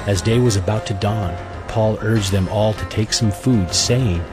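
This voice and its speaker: A man narrating a scripture audio drama in a measured, solemn storytelling manner, reading from a script. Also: lowish voice. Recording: music bed under the voice.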